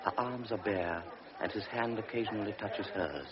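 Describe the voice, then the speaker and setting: A man narrating a literary radio drama in a deliberate, solemn manner. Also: fairly low pitch, a loud crowd, natural voice. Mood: measured. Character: measured storyteller of a pre-war tale. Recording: dull vintage radio recording with music.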